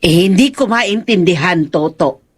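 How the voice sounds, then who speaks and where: A woman's voice: lowish voice, lively intonation, measured pace, slightly rough. A woman playing a concerned, scolding aunt in a horror audio drama, speaking in a nagging, probing manner. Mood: coaxing. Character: concerned, scolding aunt questioning her drinking nephew.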